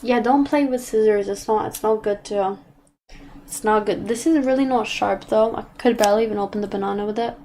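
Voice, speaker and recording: A woman's voice: mid-range voice, a little fast, warm tone, slight background noise. A young woman with a British accent and a slight Australian twang, rambling through casual stream chat with many restarts while she paints.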